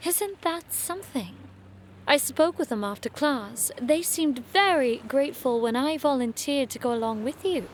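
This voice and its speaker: An actress playing a sharp-witted, teasing young noblewoman student in an audio drama, with an arch, dry delivery. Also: high-pitched, animated, moderate pace, slight background noise. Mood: suspicious, playful.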